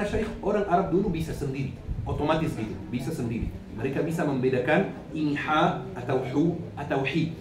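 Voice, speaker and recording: Arabic-accented male preacher in an echoey room. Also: on the high side. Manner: didactic, repeats phrases, asks and answers own questions. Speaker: Islamic preacher. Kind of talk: religious lecture, teaching with questions to listeners. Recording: echoey, noisy room, distant microphone.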